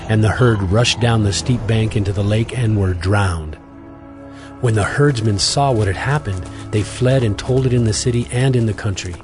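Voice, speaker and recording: A man narrating a dramatized scripture reading in a steady, even storytelling voice. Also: on the low side. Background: music.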